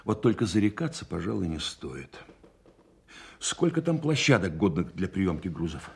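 An actor in a radio play voicing a calm, probing senior general who speaks in a deliberate, official manner. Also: male voice, sits low, vocal fry, slightly rough, full character voice. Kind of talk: Soviet-style radio play acting.

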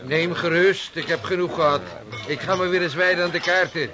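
An actor playing a genial ship's officer who commands the crew in a historical radio play. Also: male voice, sits high, steady tone, a little fast, over music, lightly acted. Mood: calm authority. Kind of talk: Dutch radio play dialogue.

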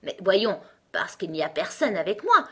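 A woman's voice: medium pitch, very animated, normal speed, breathy edge. A woman voicing a pompous, irritable male talking egg in a storybook, with a haughty, emphatic delivery. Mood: boastful, self-important. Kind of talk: storybook character acting.

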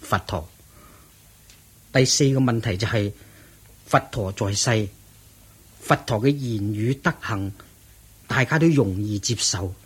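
A man's voice: fairly low pitch, slow, long pauses, breathy edge, full character voice. An actor playing a reverent disciple monk in a radio drama, earnest, respectful and protective of his master. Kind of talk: Cantonese radio drama acting.

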